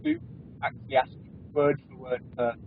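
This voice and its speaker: A man's voice: medium pitch, wildly swooping pitch, normal speed. A man hosting a call-in discussion show, casual and joking with callers. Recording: tinny phone-like line, faint hiss.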